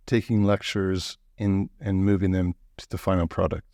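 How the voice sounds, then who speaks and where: A man with a fairly low voice hosts a podcast interview, asking questions in a relaxed, joking way. He tosses in short acknowledgments as his guest talks. The recording is close and dry. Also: normal speed, no room sound. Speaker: podcast host. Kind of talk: casual interview conversation.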